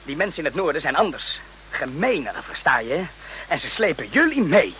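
An actor playing an agitated, outraged soldier in an emphatic radio drama style, repeating himself and swearing. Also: male voice, on the high side, sing-song, normal speed, pushed and bright, a little hiss or hum. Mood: outraged, pressing. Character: agitated, morally outraged soldier in the Vietnam War.

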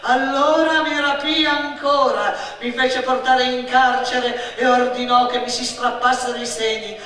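A melodramatic girl playing at being a martyred virgin saint on stage. She declaims grandly and stretches her words in a high, forceful voice that rings through a long reverb. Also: flat delivery, unhurried. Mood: grandiose, anguished. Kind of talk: theatrical monologue. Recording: echoey hall from far off, noisy.

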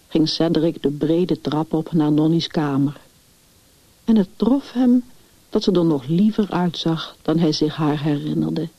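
A woman reads a literary story in a measured, deliberate storytelling manner. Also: sits low, mellow, slightly rough, no echo. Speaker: story narrator. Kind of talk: scripted literary story reading. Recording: dull, muffled lo-fi recording.

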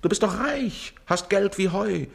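An actor in a radio play as a sly, fawning, mocking servant who wheedles and stammers with calculated hesitation. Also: male voice, sits high, expressive, unhurried, vocal fry, full character voice. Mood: playful, sardonic. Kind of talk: theatrical radio play acting.

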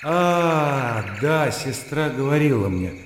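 An actor playing an educated, reserved gentleman in a Russian radio play, polite and ironic, with a didactic turn. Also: a little high, lively intonation, slow pace, noisy background. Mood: puzzled, polite. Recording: echoey reverberant sound, clear cricket ambience.

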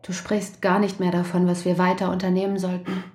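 Woman playing a worried wife, mellow dark tone, pleading, roomy echo, radio play. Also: fairly low pitch, completely flat delivery, a little fast, natural voice. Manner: anxious, pleading. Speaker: wife Hazel. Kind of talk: radio drama acting.

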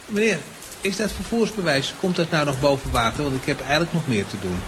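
An officious, nagging train conductor acted by a man, with a fairly high male voice. He insists and hesitates in petty indignation, as in a radio play, over clearly noisy ambience. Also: animated, fast talker. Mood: impatient.

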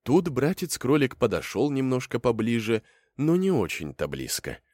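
A man's voice: medium pitch, very animated, normal speed, a little mellow, dry recording, studio quality. A man narrating a fairy tale as a storyteller, in a folksy, theatrical way.

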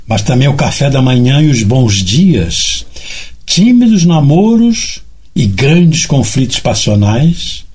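A man delivering a formal, declamatory prepared text in measured lists. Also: mid-range voice, darkish tone, breathy edge. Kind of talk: formal read speech.

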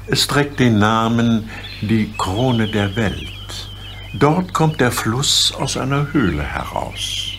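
Old emperor, male actor: grave, stately, very unhurried, formal diction, children's audio drama. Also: lowish voice, lively intonation, a bit bright, slight background noise, exaggerated voice. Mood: solemn. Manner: stately, formal, measured old-fashioned speech. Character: dignified, solemn old Emperor of China, worried father. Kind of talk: radio play acting for children.

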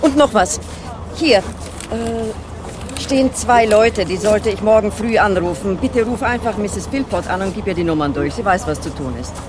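In a radio play, an actress gives us a prim, practical wife who talks about money and errands in a brisk, matter-of-fact way. Her voice sits at an ordinary pitch, with clear bus-station noise around her. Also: natural voice. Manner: brisk, matter-of-fact, fussy over details. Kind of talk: German radio drama acting.

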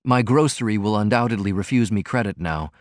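Guy doing a tired wry fella in an audiobook, dry studio sound, calm and ironic. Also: on the low side, dry recording, natural voice. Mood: mock moralising. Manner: soft-spoken, deliberate, ironic. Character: weary, wry man, calm and quietly contemptuous.

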